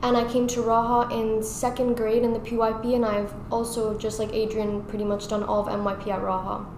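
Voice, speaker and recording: A teenage girl from Spain introducing herself in a calm, unhurried way. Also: a little high, background noise. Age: teens. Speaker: student guest. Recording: echoey room.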